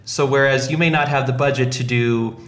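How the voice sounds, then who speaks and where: A man's voice: mid-range voice, fairly even intonation, brisk. A man hosting a podcast, chatting casually and thinking aloud as he rephrases his points. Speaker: podcast host. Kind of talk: casual discussion.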